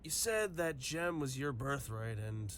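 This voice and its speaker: A man's voice: medium pitch, very animated, unhurried, fairly bright tone, a little hiss or hum. A man playing a cheeky young villain's henchman in an audio drama, with a casual, playful delivery. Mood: casual, doubtful.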